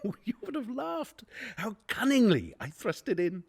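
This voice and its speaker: An actor playing a nervous, unhinged madman in a gothic radio drama monologue, halting and theatrical in his delivery. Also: high voice, wildly swooping pitch, gravelly, a little mellow, breathy edge, heavily acted. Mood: gleeful, cunning. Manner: halting, stammering, theatrical, swinging from whisper to outburst. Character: nervous, unhinged madman confessing a murder obsession. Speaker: narrator actor in a radio play.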